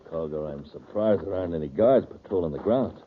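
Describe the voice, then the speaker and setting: A man's voice: low voice, animated, fairly quick. An actor playing an uneasy, blunt henchman who questions his boss in a 1950s radio serial. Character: gruff male henchman, uneasy and questioning accomplice. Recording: noisy, tinny old-time radio recording.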